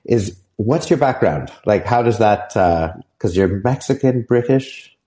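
Low-voiced young man hosting, halting speech. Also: lively intonation, dark tone. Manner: halting, heavy with fillers and restarts. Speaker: podcast host. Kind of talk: casual interview chat.

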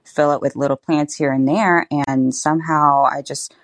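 A woman's voice: low voice, fairly even intonation, no echo, clean studio sound. A young woman guest on a casual podcast, bubbly and talkative, saying "like" often. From Florida. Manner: bubbly, lots of "like" and quick asides. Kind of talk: casual interview chat.

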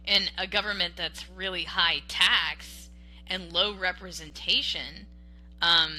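A woman on a podcast from Western Washington, debating politics in an animated, emphatic way, restarting sentences and tagging them with "okay?". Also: on the low side, takes it slowly, faint music behind, rough recording. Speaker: podcast co-host.

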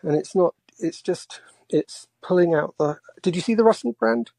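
A man in his forties from England, a quiet-voiced caller talking casually and haltingly about politics. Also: sits high, creaky, dry recording. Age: 40s. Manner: hesitant, halting.

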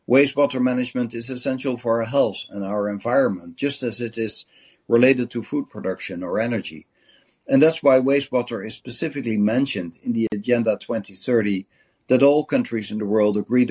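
A man hosting a podcast, introducing his guests and the topic in an earnest, measured way. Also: mid-range voice, darkish tone, husky. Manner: earnest, measured, with occasional restarts. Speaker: podcast host.

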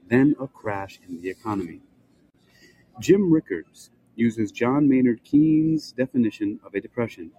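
An older man hosting a podcast, reading a prepared essay aloud with measured, rhetorical question-and-answer pacing. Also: mid-range voice, mellow, husky, highs cut off. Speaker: podcast host.

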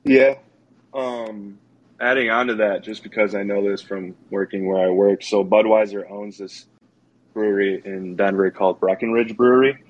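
A man's voice: lowish voice, normal speed, lo-fi sound. A man co-hosting a podcast, talking casually with many hedges and fillers. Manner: relaxed, hedging, many fillers. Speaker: podcast co-host. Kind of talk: casual chat.

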